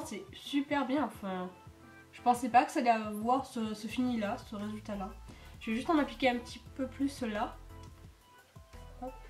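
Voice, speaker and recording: A woman's voice: on the high side, roomy sound. A teenage girl with a cold and a stuffy nose, chatting casually through a makeup tutorial with lots of fillers. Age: teens. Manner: chatty, rambling with many fillers. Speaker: beauty vlogger. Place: home.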